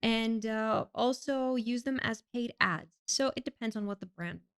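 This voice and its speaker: A woman's voice: fairly high pitch, normal speed, clear, resonant tone. A woman from Greece, a podcast guest chatting casually and laughing often. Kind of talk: casual interview chat.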